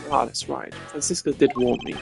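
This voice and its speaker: A man's voice: medium pitch, fairly even intonation, gravelly, music in the background. Man as a composed, haughty game prosecutor. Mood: pensive, formal. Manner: measured, formal, haughty. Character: composed, formal, condescending young male prosecutor.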